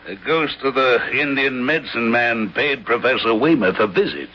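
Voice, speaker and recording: An actor playing a gruff, superstitious innkeeper, terse and grumbling, in a radio drama. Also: male voice, medium pitch, moderate pace, a little hiss or hum, lightly acted. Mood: insistent. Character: gruff, sour, superstitious male innkeeper in rural Maine. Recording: dull lo-fi radio recording.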